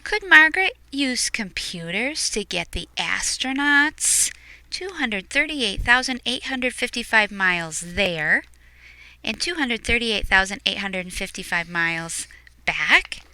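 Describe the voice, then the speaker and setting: A woman reading a picture book aloud expressively, explaining things to young listeners in hushed asides. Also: a little high, very animated, unhurried, poor audio.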